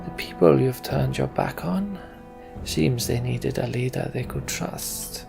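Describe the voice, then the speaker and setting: Man playing fantasy rebel leader, defiant, scornful, gravelly, light music behind. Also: mid-range voice, measured pace. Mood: taunting, menacing.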